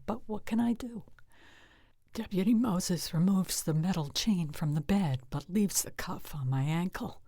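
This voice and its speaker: A woman reading a short story in the first person as a weathered, frightened, bitter psychiatric patient in custody, with emotive delivery that turns hushed. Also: lowish voice, no echo, clean studio sound. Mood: resigned, bitter. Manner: emotive, hushed at fearful moments.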